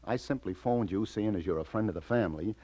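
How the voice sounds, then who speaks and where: An actor playing a hard-boiled police detective, weary but firm, with terse, slangy delivery in old radio crime drama style. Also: male voice, fairly low pitch, fast, dark tone, no echo, close to an everyday voice. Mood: subdued. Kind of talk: 1940s-50s radio crime drama acting.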